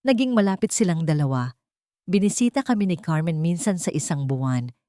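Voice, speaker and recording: A woman's voice: on the low side, lively intonation, fairly quick, warm tone, dry recording, studio quality. A woman with a Filipino accent reading a first-person dramatic story as an audiobook narrator.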